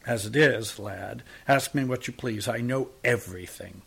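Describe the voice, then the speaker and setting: A man voicing a pious, self-pitying male tramp with a soft, drawling, sickly sweet voice. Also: medium pitch, normal speed, gravelly, slightly rough.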